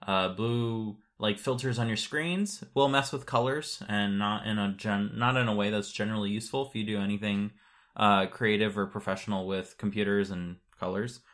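A man's voice: fairly low pitch, fairly even intonation, normal speed, a bit bright. A man co-hosting a podcast, explaining things in an informal, matter-of-fact way in casual conversation. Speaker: podcast co-host.

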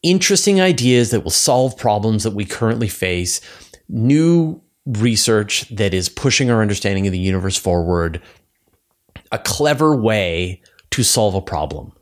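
A man's voice: lowish voice, sing-song, normal speed. A man talking casually and enthusiastically as a podcast host, thinking aloud with emphatic points. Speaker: podcast host and science news publisher.

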